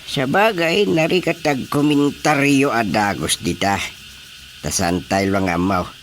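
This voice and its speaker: A man acting in an Ilocano radio comedy-drama as an expressive, opinionated villager reacting to gossip, with an Ilocano Filipino accent. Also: medium pitch, unhurried, a little hiss or hum, natural voice. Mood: opinionated, wry.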